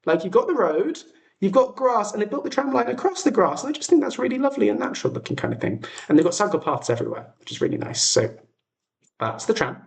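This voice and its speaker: A man's voice: sits high, big pitch swings, fast talker, creaky. A young British man hosting a livestream, chatting casually to viewers in an enthusiastic, whimsical way. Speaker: livestream host.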